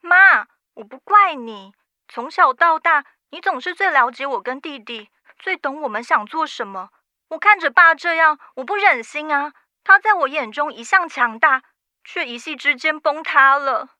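An actress playing an earnest, protective daughter of twenty in a radio drama. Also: female voice, sits high, expressive, moderate pace, pushed and bright, no echo, heavily acted. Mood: earnest, pained. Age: 20s.

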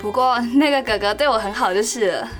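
A woman's voice: on the high side, quick pace, soft background music, natural voice. A woman playing a cheerful, teasing female college freshman in a radio drama, speaking Taiwanese Mandarin in a sweet, playful way.

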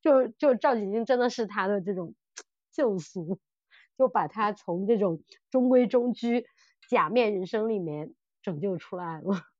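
A woman co-hosting a casual podcast chat, telling stories in an animated way and often repeating her phrases. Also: mid-range voice, a little slow, dry recording, dull audio. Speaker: podcast co-host. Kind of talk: casual chat about audio dramas.

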